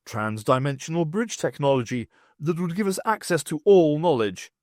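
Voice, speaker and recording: Alien warrior, male reader, sci-fi audiobook: literal-minded, formal, clipped delivery, higher-set voice. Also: very animated, moderate pace, a little mellow, dry recording, studio quality. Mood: solemn. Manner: clipped, formal, declarative speech.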